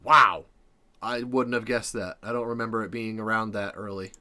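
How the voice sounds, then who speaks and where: A man's voice: on the low side, fairly even intonation, normal speed, pushed and bright. A man, perhaps in his thirties, chatting casually to viewers as a playful, self-mocking reaction host with nervous jokiness.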